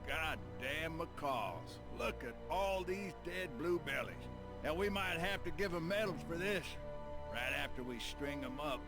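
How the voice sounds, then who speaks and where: An actor playing a crude, sardonic Confederate soldier. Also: male voice, a little high, expressive, unhurried, over music. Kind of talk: cinematic Western game acting.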